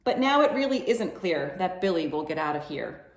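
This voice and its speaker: A woman's voice: lowish voice, big pitch swings, normal speed. A woman reading aloud expressively at a children's storytime, coaxing young listeners with questions. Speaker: children's library storytime reader. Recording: echoey room sound.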